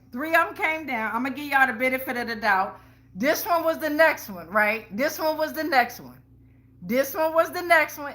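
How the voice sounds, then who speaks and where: Female tarot host, higher-pitched forceful voice, blunt slangy delivery, emphatic repetition, salty language. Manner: blunt, heavy swearing, slangy, repeats phrases for emphasis. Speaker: tarot reader, show host. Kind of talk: casual spiritual tarot reading to followers.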